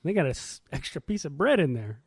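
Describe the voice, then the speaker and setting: A man with a somewhat high voice and very animated intonation, recorded dry. He chats casually as a podcast co-host, playful and teasing, prone to exclaiming. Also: a little fast, slightly rough, no echo. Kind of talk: casual banter.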